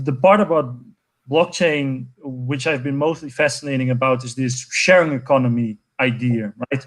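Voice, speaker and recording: A man in his twenties from Portugal, a guest speaking enthusiastically over a video call with many fillers and restarts. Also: mid-range voice. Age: 20s. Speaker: guest, network co-founder. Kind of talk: conversational interview answer.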